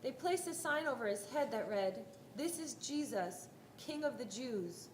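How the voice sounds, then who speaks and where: A woman narrating a scripted dramatic Bible reading, telling the story in a deliberate, expressive way. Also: on the high side, slight background noise. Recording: roomy live hall, faint music.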